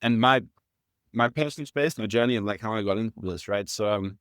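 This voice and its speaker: A man in his thirties from South Africa with a South African accent, explaining his work at a measured pace as an interview guest, with some fillers and restarts. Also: lowish voice, fairly bright tone, smooth, dry recording, studio quality. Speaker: guest, startup founder. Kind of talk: conversational interview answers.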